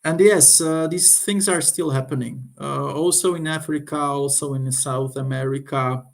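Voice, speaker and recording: A man from Slovakia with a Slovak accent gives an informal, unscripted review, halting as he searches for words. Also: medium pitch, fairly even intonation, creaky. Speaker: occult book reviewer.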